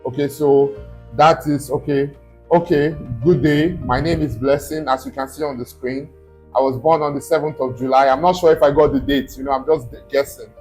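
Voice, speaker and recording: A man's voice: medium pitch, steady tone, moderate pace, darkish tone, faint music behind, poor audio. A man, a study abroad consultant, walks viewers through a screen-recorded tutorial step by step and keeps checking in with "okay?".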